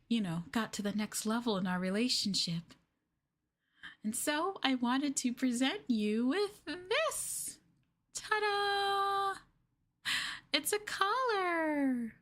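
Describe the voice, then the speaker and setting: A woman playing a sweetly possessive, obsessive young girlfriend in a yandere ASMR roleplay, cooing coyly with drawn-out endearments. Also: fairly high pitch, fairly bright tone.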